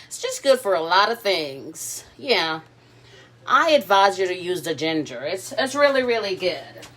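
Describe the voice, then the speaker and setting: A woman's voice: fairly low pitch, sing-song, measured pace, a bit bright. A woman hosting a casual cooking livestream from her home kitchen, chatting playfully with her viewers. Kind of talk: casual live cooking chat.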